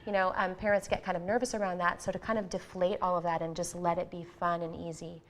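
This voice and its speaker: A woman's voice: on the low side, little pitch movement, fast talker, fairly bright tone. A woman guest on an interview show, explaining her music program fluently and earnestly. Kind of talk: interview answers.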